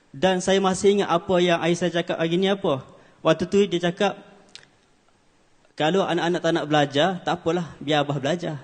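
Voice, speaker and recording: A man in his twenties giving an earnest speech at an award ceremony, with many hesitant fillers. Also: fairly high pitch. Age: 20s. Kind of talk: formal stage speech. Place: large ceremony hall. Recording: hall reverb.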